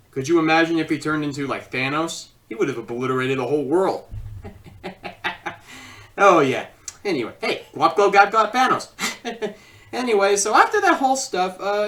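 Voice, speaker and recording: A woman giving a casual, rambling, excitable comedic film review. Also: mid-range voice, recorded from a distance.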